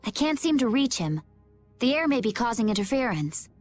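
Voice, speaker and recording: Composed, expressive female adventurer. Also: a little high, a little fast, faint music behind. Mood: concerned, probing. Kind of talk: voice acting in an English video game cutscene.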